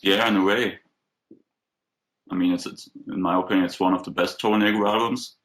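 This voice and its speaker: A male band member giving plain, unhurried answers in an interview. His voice is very deep and stays level, with almost no rise or fall in pitch from one phrase to the next. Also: fairly even intonation, a bit bright. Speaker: member of a cover band, guest.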